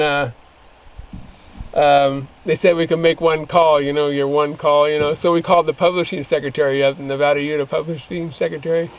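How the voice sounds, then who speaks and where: A man, middle-aged, casually reminiscing among friends in a rambling, anecdotal way. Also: a little high. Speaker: former missionary bookseller. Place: outdoors, back of a pickup by a creek. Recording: muffled lo-fi outdoor amateur recording, background noise.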